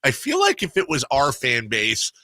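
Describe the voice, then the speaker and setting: A man's voice: mid-range voice, huge pitch swings, moderate pace, pushed and bright, dry recording. A man hosting a sports radio show, asking long, rambling questions in a casual interview style.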